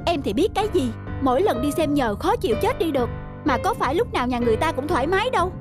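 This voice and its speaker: A stubborn young cartoon husband. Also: male voice, very high-pitched, very animated, fast talker, music in the background. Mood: irritated. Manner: insistent, persuasive. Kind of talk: animated cartoon voice acting.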